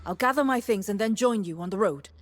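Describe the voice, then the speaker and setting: Actress as an earnest sage, very expressive pitch. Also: female voice, fast, close to an everyday voice. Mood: earnest concern. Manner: earnest, explanatory, concerned. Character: cautious, earnest female botanist sage of long experience. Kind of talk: video game character dialogue.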